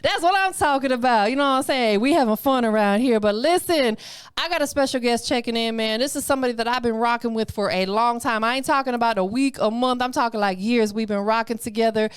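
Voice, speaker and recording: A woman's voice: a little high, fast talker, clean tone. A middle-aged woman hosting a podcast, upbeat and full of casual slang, interviewing a guest. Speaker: podcast host.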